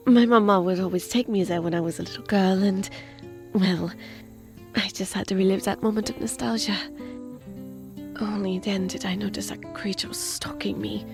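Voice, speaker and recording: A woman's voice: medium pitch, steady tone, normal speed, gravelly, soft background music, close to an everyday voice. A woman playing a polite, sweet young bayou lady in an audio drama, genteel and using French courtesies. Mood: grateful, demure.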